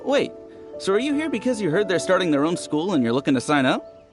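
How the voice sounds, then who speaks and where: An actor playing a chatty male villager who tells stories in an animated way in a video game. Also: sits high, a little fast. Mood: gossipy. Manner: storytelling, animated. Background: game music.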